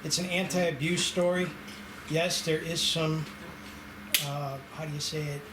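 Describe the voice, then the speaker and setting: A man's voice: fairly high pitch, steady tone, unhurried. A man leading a table read, informally organizing the group and explaining things. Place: indoor room with a group. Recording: noisy, echoey room.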